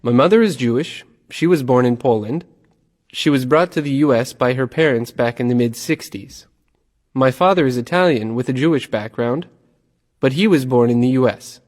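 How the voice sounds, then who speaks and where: Man, scripted lesson dialogue reader, plain and even, mid-pitched. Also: moderate pace, long reverb tail.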